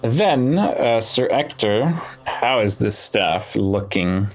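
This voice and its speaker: A man giving casual gameplay commentary, thinking aloud in short, musing phrases with pauses between them. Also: on the low side, expressive. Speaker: let's play host. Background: game music.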